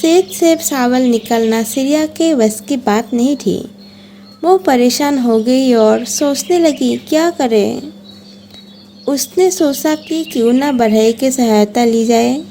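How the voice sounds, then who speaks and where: Slow, high-pitched woman narrating a children's story. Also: dark tone, slight background noise.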